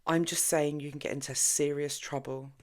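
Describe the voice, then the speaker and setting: A low-pitched, earnest woman played by a female audiobook reader who disapproves and worries. She cautions and scolds like a serious friend in a storybook drama. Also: flat delivery, normal speed, darkish tone, lightly acted. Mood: exasperated warning.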